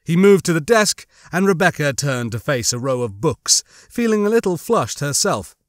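A man narrating a suspense novel as an audiobook, with measured, dramatic storytelling. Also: on the high side, sing-song, no echo, studio quality. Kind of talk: scripted dramatic fiction narration.

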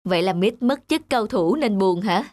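A man voicing a patient, wise father in a cartoon, reasoning and gently teasing. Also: high-pitched, expressive, normal speed. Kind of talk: cartoon voice acting. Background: music.

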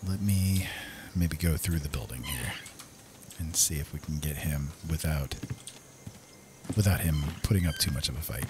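A man's voice: rumbling low, normal speed, pauses often, noisy background. A man hosting a gaming video with casual, playful, chatty commentary, often talking to himself.

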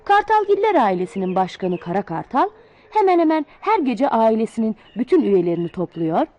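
Expressive woman telling a fable. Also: fairly high pitch, a little fast. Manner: expressive, sing-song storybook delivery. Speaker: storyteller. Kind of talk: reading a children's fable aloud.

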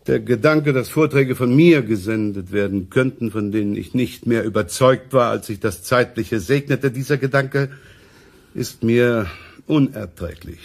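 A man acting a pompous, self-important essayist and professor in a radio play, with deliberate, oratorical phrasing. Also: mid-range voice, vocal fry. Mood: grave, self-important.

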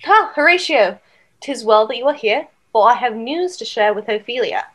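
Brooding young noble played by a woman, highish voice, theatrical archaic phrasing, earnest, audio drama. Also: lively intonation, moderate pace, a bit bright. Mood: conspiratorial. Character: brooding, earnest young Danish noble sworn to avenge a father.

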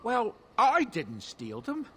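Chatty dwarf merchant, lively pitch. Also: male voice, slow, slight background noise, full character voice. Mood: defensive, candid. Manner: chatty, theatrical storyteller. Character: genial middle-aged male dwarf merchant, wistful storyteller. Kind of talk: fantasy video game dialogue.

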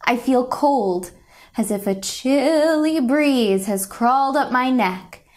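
A young woman reads a children's storybook aloud at a very slow, deliberate pace. Her voice sits a little high, and she delivers the lines gently and expressively, as for small listeners. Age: young adult. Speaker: picture book reader. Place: indoors, a home room.

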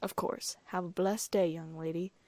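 A woman voicing a gentle, fatherly middle-aged male gardener in a read-aloud story. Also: lowish voice, fairly even intonation, moderate pace, no echo, natural voice. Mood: kindly.

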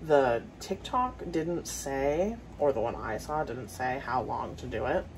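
A woman's voice: rumbling low, lively intonation, a little slow. Chatty woman cooking in a noisy kitchen. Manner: chatty, talks while cooking, addresses viewers. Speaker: home cooking vlogger. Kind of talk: casual cooking vlog chat. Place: home kitchen.

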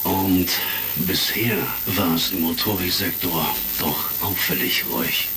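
An actor playing a worried, measured and authoritative intelligence chief in a German sci-fi audio drama. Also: male voice, low voice. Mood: concerned, official. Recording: distant, noisy retro crackle.

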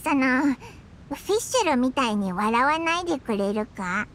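A woman voicing a tiny, cheeky floating girl companion in a game, with a squeaky childlike voice and blunt, boyish, excitable talk. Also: measured pace, slight background noise. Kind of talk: game voice acting. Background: game soundtrack music.

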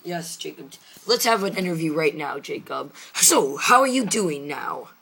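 A teenage boy with a hoarse voice, chatting casually and jokingly into a webcam from his room, wearing a gaming headset. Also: a little high, big pitch swings, normal speed, sharp tone, a little hiss or hum. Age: teens. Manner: rambling, joking, tired. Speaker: vlogger. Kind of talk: casual chat. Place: small room at home, indoors.

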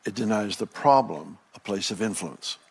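A man's voice: fairly low pitch, lively intonation, moderate pace, creaky, husky. A man in his sixties, a pastor talking with a guest in an earnest, reflective way with frequent restarts. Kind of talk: conversational ministry interview.

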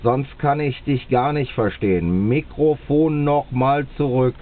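A man with a German accent making a two-way radio contact, speaking slowly and deliberately and repeating himself. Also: mid-range voice. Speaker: radio operator. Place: indoors at a radio set. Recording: tinny, band-limited camera capture at the radio.

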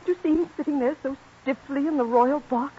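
A woman's voice: high voice, brisk, a little hiss or hum, natural voice. A woman playing an earnest, proud young American prima donna with theatrical emotion in an old radio drama, in an American accent. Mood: frightened. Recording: muffled old radio recording.

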